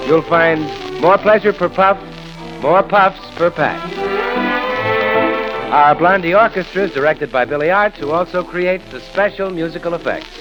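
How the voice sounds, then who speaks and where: A man's voice: on the high side, low-quality recording. An American man announcing credits and sponsor messages on a 1930s radio broadcast. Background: music.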